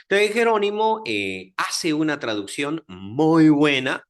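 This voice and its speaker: A man with a Guatemalan accent lecturing a class on Bible history, talking in a lively way with many fillers and check-ins to his listeners. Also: a little high, slow pace. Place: Dominican Republic, class audience.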